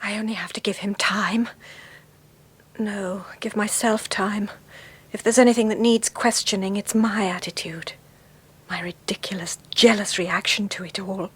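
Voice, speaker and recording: An actress playing an anxious, troubled young mother in a British radio drama, speaking with an English accent in a tense, halting, confiding way. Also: medium pitch, fairly even intonation, husky. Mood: whispered self-doubt. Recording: faint noise on radio broadcast.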